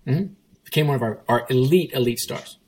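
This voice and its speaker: A man's voice: medium pitch, fairly quick, darkish tone, husky. A man, a former chief executive, telling a story in a casual interview, emphatic and given to repeating his points and quoting others. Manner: emphatic, repetitive, quotes himself and others. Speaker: former CEO, guest.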